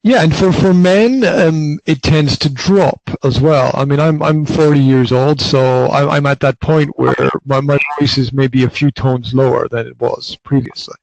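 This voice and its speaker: A man's voice: medium pitch, normal speed, few pauses, a little mellow, rough recording. A man in his forties hosting a casual interview, talking in bursts with many repeated words and restarts. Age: 40s. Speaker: podcast host.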